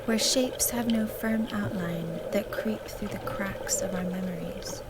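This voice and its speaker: A woman reciting a poetic voice-over from an art video, measured and recited. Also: mid-range voice. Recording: video audio with loud noise.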